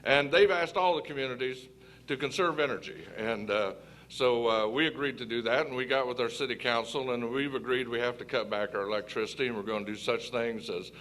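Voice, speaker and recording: A man playing a plain-spoken small-town mayor in a staged radio interview, halting often with uhs, over faint noise. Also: medium pitch, a bit bright, lightly acted, poor audio. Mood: earnest, civic.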